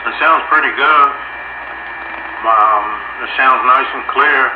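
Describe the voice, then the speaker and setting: A man's voice: medium pitch, takes it slowly, background noise. A man chatting casually with others over a two-way radio. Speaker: radio channel regular checking in on others. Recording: dull, echoing radio with loud music.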